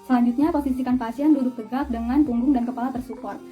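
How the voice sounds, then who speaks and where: A woman's voice: high-pitched, steady tone, fast, mellow, faint music behind. A young woman giving an instructional demonstration in an orderly, polite, step-by-step manner. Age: young adult. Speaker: dental student demonstrating a radiography procedure.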